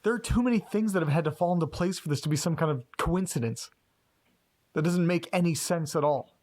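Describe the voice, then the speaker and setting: A man's voice: a little high, fairly quick, darkish tone, lightly acted. A man acting as an anxious, self-doubting man caught up in eerie mysteries, speaking hesitantly and earnestly in a naturalistic horror audio drama. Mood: insistent.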